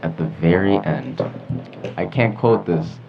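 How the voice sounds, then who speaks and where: Deep-voiced teenage boy, muffled lo-fi sound. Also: measured pace. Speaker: teen podcast co-host. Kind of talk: casual storytelling chat. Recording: dull lo-fi with loud music.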